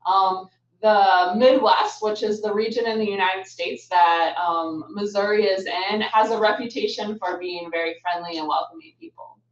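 A woman's voice: medium pitch, fairly even intonation, normal speed, distant mic. A young adult woman hosting a webinar in a friendly, upbeat way with lots of "um"s. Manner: upbeat, with frequent "um" fillers. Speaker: webinar host, international affairs staff. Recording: muffled, roomy, from off the mic.

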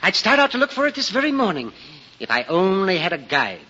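High-voiced, courtly old-radio plant hunter. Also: male voice, wildly swooping pitch, brisk, bright, forceful tone, slightly rough, heavily acted. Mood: confiding. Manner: courtly, formal, exclamatory. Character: genteel, eager, excitable traveling plant hunter. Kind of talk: 1940s radio acting. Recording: muffled, faintly noisy 1940s radio recording.

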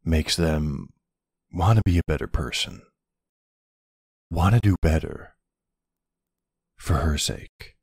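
A man acts out a doting mafia boss husband and father in an intimate audio roleplay. His voice is very deep, with gravelly fry, and he speaks slowly and softly with tender, hesitant pauses. The sound is close and dry. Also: expressive, dry recording, full character voice. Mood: earnest, warm. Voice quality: gravelly, husky. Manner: soft, unhurried, hesitant pauses. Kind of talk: intimate ASMR roleplay acting.

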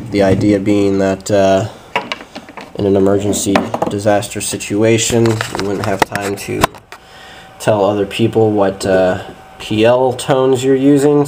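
A man's voice: lowish voice, fairly even intonation, a little slow. A man giving a casual, hesitant hands-on demonstration of radio gear. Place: small indoor room at a desk. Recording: noisy amateur camcorder or phone audio.

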